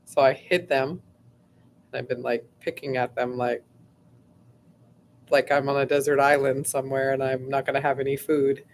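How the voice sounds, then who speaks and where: A woman hosting a casual livestream, her voice going hoarse, rambling chattily with lots of fillers and frequent throat clearing. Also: extremely low pitch, level tone, moderate pace, long pauses, a little hiss or hum. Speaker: host.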